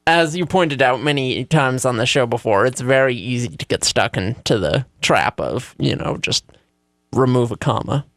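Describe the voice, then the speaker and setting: A man's voice: mid-range voice, animated, normal speed, a bit bright, no room sound. A man co-hosting a radio show, talking casually with dry, wry humor. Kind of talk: casual chat.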